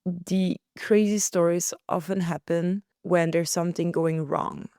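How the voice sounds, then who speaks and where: Relaxed Belgian woman podcasting from home. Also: lowish voice, a little slow, no echo, studio quality. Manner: relaxed, chatty, laughs easily. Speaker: podcast host. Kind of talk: casual solo podcast chat. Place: living room at home.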